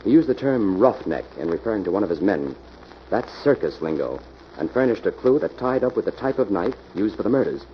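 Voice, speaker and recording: A confident American detective in a vintage radio mystery. His delivery is animated and methodical, with a commanding edge. The recording is dull, with plenty of noise behind him. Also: fairly low pitch, a little fast. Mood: calm, reflective. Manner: assured, methodical explaining. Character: confident, commanding male detective, shrewd and explanatory. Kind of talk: old-time radio mystery acting.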